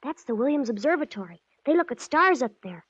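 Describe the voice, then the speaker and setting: Earnest high-voiced American boy. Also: sing-song, fairly quick, fairly bright tone, breathy edge, no echo, exaggerated voice. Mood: earnest, worried. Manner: hesitant, plain-spoken kid slang. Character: earnest, secretive small-town boy, worried and wary. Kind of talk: 1940s radio acting. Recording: dull old 1940s radio recording.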